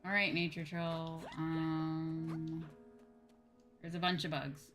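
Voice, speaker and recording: Woman streamer, low-pitched and slow, thinking aloud. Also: flat delivery, over music. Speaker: livestreamer playing a farming game. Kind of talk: casual game commentary and chat with viewers.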